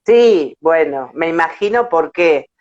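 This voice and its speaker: A woman's voice: low-pitched, lively intonation, slow, a little mellow. A woman hosting an interview, effusive and repeating words for emphasis, over a lo-fi internet call. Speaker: host of an online interview show. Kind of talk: warm personal introduction and interview chat.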